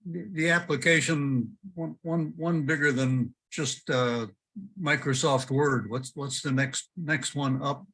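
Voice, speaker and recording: Older man, husky voice, halting casual club chat over a video call, dry humor. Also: fairly high pitch, steady tone, a bit bright, rough recording. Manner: halting, searching for words, dry jokes. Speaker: club member presenting his photo.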